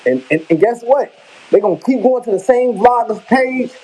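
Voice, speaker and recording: A man's voice: very high voice, expressive, normal speed, mellow, slightly rough, poor audio. Rambling, emphatic Chicago man. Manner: rambling, emphatic, slangy, repeats himself. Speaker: vlogger. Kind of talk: casual live commentary. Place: home kitchen.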